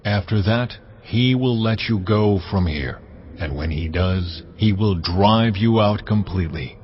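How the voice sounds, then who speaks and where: An actor playing a solemn, authoritative God giving commands in a dramatized audio Bible, measured in manner. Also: male voice, on the low side, muffled recording, a little hiss or hum, close to an everyday voice. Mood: solemn, foretelling.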